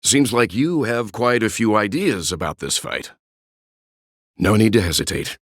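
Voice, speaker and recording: An actor playing a calm, kindly, seasoned space traveler and mentor in a video game, speaking in a measured, reflective way. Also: male voice, fairly low pitch, dry recording, clean studio sound. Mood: warm encouragement. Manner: measured, reflective, gently avuncular. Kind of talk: scripted game character voice lines.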